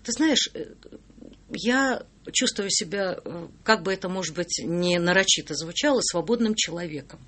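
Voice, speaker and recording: A woman's voice: on the low side, lively intonation, normal speed, a bit bright. A middle-aged woman, a singer-songwriter guest, speaking thoughtfully with frequent hesitations in a radio interview.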